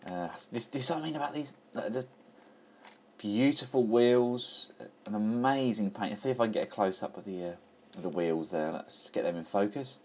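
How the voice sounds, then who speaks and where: Man, lower voice, upbeat hobbyist reviewer talking through a toy. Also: normal speed, darkish tone. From England. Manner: enthusiastic, chatty, with frequent fillers and restarts. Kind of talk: casual toy review walkthrough.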